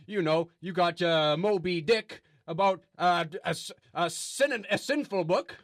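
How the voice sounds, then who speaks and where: A man acts out an indignant conspiracy pastor for a radio comedy. The voice sits fairly high and is recorded close and dry. He stammers, repeats himself and hammers his points with folksy zeal. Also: unhurried, no echo. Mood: indignant, flustered. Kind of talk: improvised radio comedy sketch.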